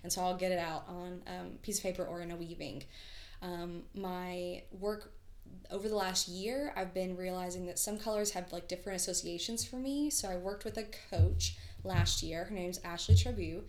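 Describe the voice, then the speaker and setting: A woman's voice: fairly low pitch, fairly even intonation, normal speed, darkish tone. A woman guest artist telling stories in a casual, enthusiastic podcast conversation. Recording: roomy, echoey sound.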